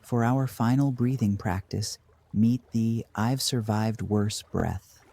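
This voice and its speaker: A man narrating a scripted guided meditation in a measured, dry deadpan manner. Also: on the low side, gravelly, noisy background.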